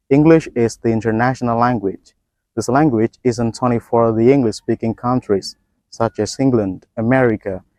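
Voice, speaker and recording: A man's voice: mid-range voice, a little mellow, no echo. Formal Indonesian man giving a dry talk. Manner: formal, measured, textbook phrasing. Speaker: educator. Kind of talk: scripted talk.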